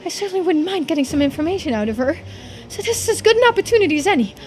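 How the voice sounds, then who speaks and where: Woman performing stern adult female investigator, video game, authoritative, calculating, high-pitched, very expressive. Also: racing, soft background music. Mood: scheming.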